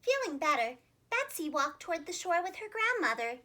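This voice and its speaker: Female children's storyteller, preschool-teacher manner, extremely high register, lively intonation, clearly enunciated. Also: moderate pace. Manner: animated, expressive, carefully enunciated.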